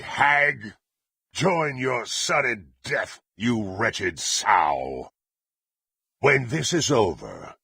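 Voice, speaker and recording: An actor playing a menacing, proud and violent blackguard warrior in a fantasy video game, with a gravelly, raspy voice and haughty, threatening, formal delivery. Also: male voice, fairly low pitch, sharp tone, no echo, clean studio sound, exaggerated voice. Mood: furious, murderous. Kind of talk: theatrical fantasy game voice acting.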